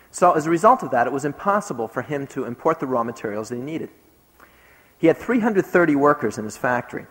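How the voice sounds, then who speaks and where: A man recounting a trip abroad, telling the story in an earnest, emphatic way. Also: medium pitch. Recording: long hall reverb.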